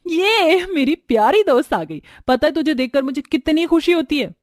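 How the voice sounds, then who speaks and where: A woman voicing a bubbly, affectionate young woman in a family story, excitable and gushing. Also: sits high, moderate pace, no room sound, clean studio sound, voice pushed up. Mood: delighted.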